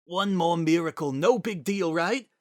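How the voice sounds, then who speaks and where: A man's voice: on the high side, a little slow, no echo, clean studio sound, voice pushed up, natural voice. A man voicing a slangy, wisecracking character worn down by grief and desperation in a dramatic story reading. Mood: desperate, pleading. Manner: slangy, informal, emotionally raw.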